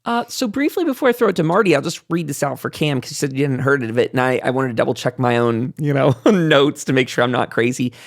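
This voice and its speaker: A man's voice: mid-range voice, lively intonation, fast, few pauses, no echo, studio quality. A man hosting a gaming roundtable in a chatty, enthusiastic way, rambling off into asides. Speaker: host of a gaming roundtable show. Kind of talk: casual panel discussion.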